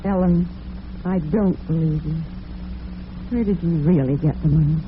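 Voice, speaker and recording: A woman's voice: low-pitched, moderate pace, close to an everyday voice. A middle-aged woman playing a suspicious, probing mother in an old-time radio drama. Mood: suspicious, insistent. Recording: tinny, noisy vintage radio broadcast.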